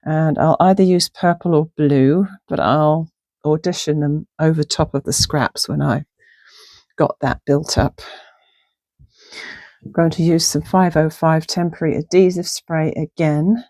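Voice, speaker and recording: A middle-aged woman chatting casually through a sewing project in her home sewing room, hoarse from a chest virus, upbeat and friendly. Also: low-pitched, steady tone, unhurried, a little mellow, no room sound. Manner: chatty and upbeat, talks while working. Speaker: sewing vlogger. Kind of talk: casual craft tutorial talk. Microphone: clip-on lapel mic.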